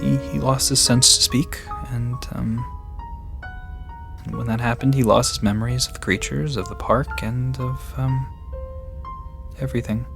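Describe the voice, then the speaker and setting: A man playing a gentle, nervous young vet in an audio drama, polite and given to hesitant stammering. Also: mid-range voice, fairly even intonation, a little slow, vocal fry, pauses often, over music. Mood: sombre, earnest.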